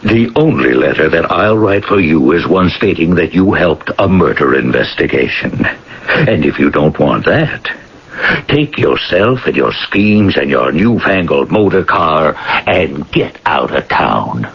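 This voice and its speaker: Casting: skeptical town mayor in radio drama, blunt, animated delivery, muffled old radio sound. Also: male voice, rumbling low, unhurried, husky, heavily acted. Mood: stern. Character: gruff, skeptical small-town mayor, stern and wry. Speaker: actor. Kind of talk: 1980s radio drama acting. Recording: dull, lo-fi old radio recording.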